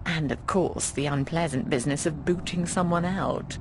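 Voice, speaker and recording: A woman's voice: low voice, normal speed, background noise, natural voice. An actress playing a worldly, teasing middle-aged landlady in a video game, breezy and wry, with a theatrical drawl. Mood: breezy, informative. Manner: theatrical, drawling, calls people darling. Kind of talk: video game dialogue acting.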